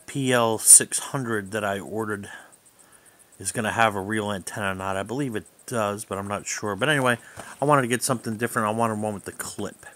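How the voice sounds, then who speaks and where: A man's voice: lowish voice, a bit bright. A man giving a casual, unscripted product overview in a hesitant, rambling way. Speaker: hobbyist video reviewer.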